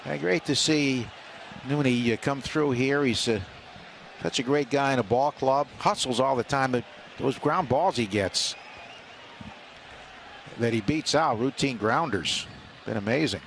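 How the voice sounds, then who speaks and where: A man doing live radio baseball play-by-play, talking conversationally with hesitant pauses between calls. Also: mid-range voice, fairly bright tone. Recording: radio broadcast with clear stadium noise. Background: outdoor ballpark ambience.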